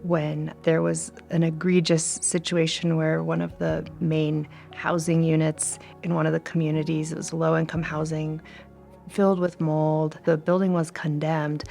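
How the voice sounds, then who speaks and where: A woman in her thirties talks earnestly about her work in an interview. Her voice sits a little low and carries a gravelly fry. Soft music plays underneath. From Mexican American, grew up speaking Spanish. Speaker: law alumna, nonprofit executive director. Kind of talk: interview for a tribute video.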